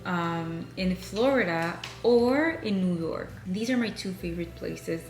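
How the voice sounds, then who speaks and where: A woman's voice: lowish voice, unhurried, a little mellow, reverberant, slight background noise. A woman in her twenties, a native French speaker from Canada, chatting casually as a vlogger answering viewer questions. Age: 20s. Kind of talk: casual chat while decorating.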